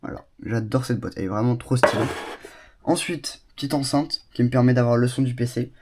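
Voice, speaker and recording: A man with a cold gives an excited tour of his small home studio. He chats casually and keeps drifting into asides and fillers. His voice sits at an ordinary middle pitch. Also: fairly quick. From Bordeaux, France. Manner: chatty, enthusiastic, many fillers and asides. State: has a cold. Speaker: YouTuber showing his studio.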